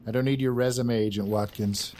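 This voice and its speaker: An actor playing a curt, impatient male government boss with a husky voice. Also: medium pitch, steady tone, brisk, darkish tone, slight background noise, close to an everyday voice. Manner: curt, dismissive, swears. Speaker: senior federal official.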